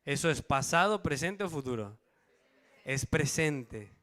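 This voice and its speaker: A man's voice: fairly high pitch, lively intonation, measured pace, fairly bright tone. A man preaching to a church congregation. He reads out verses, asks the congregation questions and checks in with them. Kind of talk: Bible study sermon to a congregation.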